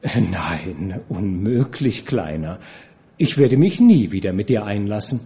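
A man voicing a coquettish young actress who teases and cajoles in a high, airy voice. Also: big pitch swings, a little fast, warm tone. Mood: coolly refusing. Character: coquettish, teasing young woman, a theatre actress.

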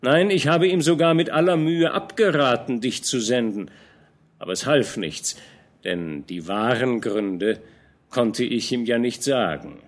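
A man's voice: medium pitch, measured pace, natural voice. Man reading as a shrewd fatherly old captain. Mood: admonishing. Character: older male field captain, shrewd, fatherly.

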